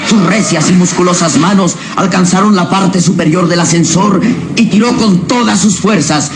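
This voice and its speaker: A man's voice: sits high, moderate pace. A man narrating a scripted radio serial in a theatrical, suspenseful way. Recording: radio serial with music behind.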